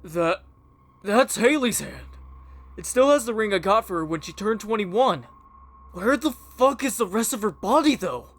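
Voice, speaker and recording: A man's voice: high-pitched, lively intonation, normal speed, fairly bright tone. An actor playing an angry, exasperated young man with a sardonic, foul-mouthed manner in an audio horror drama. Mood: shocked grief.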